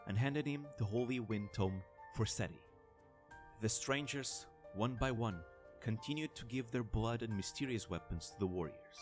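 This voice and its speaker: Man narrating fantasy tale over music. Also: mid-range voice. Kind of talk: scripted fantasy story narration.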